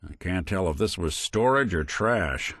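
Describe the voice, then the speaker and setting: An actor playing a world-weary, loyal robot detective in a video game, with wry, folksy 1940s private-eye slang. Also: male voice, deep voice, expressive, unhurried, a bit bright, no echo, studio quality, full character voice. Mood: casual, alert. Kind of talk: video game companion voice lines.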